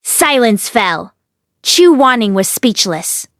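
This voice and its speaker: A woman narrating a novel aloud as an expressive read-along host. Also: a little high, slow, no echo, studio quality.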